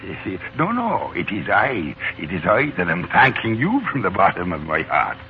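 An actor playing a wily, excitable little old leprechaun with an Irish accent and a wheedling, theatrical manner in a 1940s radio play. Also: male voice, low-pitched, moderate pace, full character voice. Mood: grateful, wheedling. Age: older. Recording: dull lo-fi 1940s radio recording.

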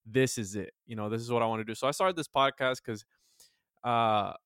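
A man in his twenties hosting a podcast, talking earnestly and philosophically with many halting restarts. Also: mid-range voice, fairly bright tone, clean tone, no room sound, clean studio sound. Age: 20s. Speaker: podcast host. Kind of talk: casual podcast chat.

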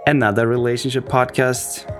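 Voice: medium pitch, little pitch movement, normal speed. A podcast co-host from Austria reading an upbeat scripted promo. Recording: clear music bed behind.

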